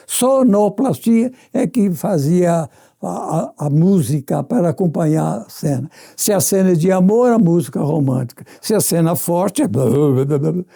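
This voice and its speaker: A man with a Brazilian accent, explaining and reminiscing casually in a halting, demonstrative way. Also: high-pitched, vocal fry, dark tone, no echo, studio quality.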